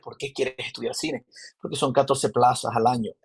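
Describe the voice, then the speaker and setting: A man's voice: mid-range voice, steady tone, normal speed, slightly rough. A man in his thirties with a Maracaibo Venezuelan accent, a film director telling his story as a podcast guest, rambling with many restarts and fillers. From Zulia, Venezuela. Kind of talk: casual interview storytelling. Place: remote call from abroad.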